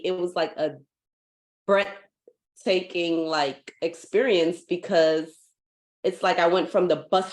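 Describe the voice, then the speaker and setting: A young woman from Guyana, a foundation founder, talking casually as a guest in a video-call interview, full of "like" fillers and lively while telling stories. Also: on the low side. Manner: chatty, many "like" fillers, animated when telling stories. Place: home in Hawaii.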